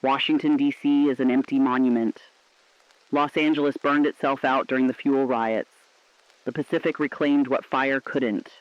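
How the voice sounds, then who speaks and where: A mid-pitched male voice of an actor plays a resolute co-anchor in an apocalyptic radio drama. The delivery is somber and deliberate, on a slightly dull recording. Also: no room sound, natural voice. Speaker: radio co-host and correspondent. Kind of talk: scripted dramatic apocalyptic news broadcast. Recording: slightly dull, dry studio sound.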